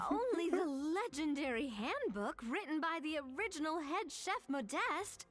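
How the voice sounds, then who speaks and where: Eager young female companion, high-pitched, animated, knowing, game dialogue, music behind. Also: slow, full character voice. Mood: excited, explaining. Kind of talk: video game dialogue acting.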